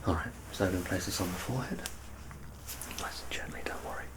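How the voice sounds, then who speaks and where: A man in an ASMR medical roleplay, playing a doctor who gives gentle, reassuring, soft instructions. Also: deep voice, quick pace.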